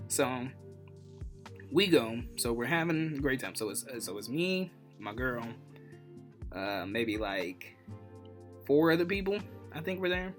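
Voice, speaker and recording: A man's voice: mid-range voice, unhurried, long pauses. A man with a mid-range voice hosts a casual storytime vlog. He talks informally and animatedly, poking fun at himself as he acts out conversations. Faint music plays behind him. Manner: animated retelling, acts out dialogue. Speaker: vlogger, channel host.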